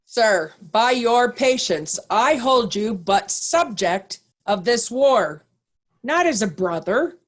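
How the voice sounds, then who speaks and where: A stern and righteous duke, played by a woman, speaks Shakespearean verse at an unhurried pace. The delivery is formal and commanding, like someone used to leading an army. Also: on the high side, expressive. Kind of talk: Shakespearean reader's theatre.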